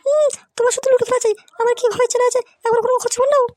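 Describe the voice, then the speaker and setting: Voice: extremely high pitch, steady tone, racing, a little mellow, dry recording, studio quality. A performer voicing a coy, pouting village woman in a comic cartoon, protesting flirtatiously in a whiny way over music. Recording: music behind the voice.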